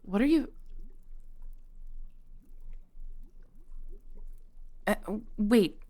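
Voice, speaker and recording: Woman playing an unhurried centuries-old witch shopkeeper. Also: medium pitch, animated, mellow, lightly acted. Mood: startled. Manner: unhurried, pausing, sighing, conversational. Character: centuries-old female witch shopkeeper, patient, knowing, protective. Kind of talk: audio roleplay acting.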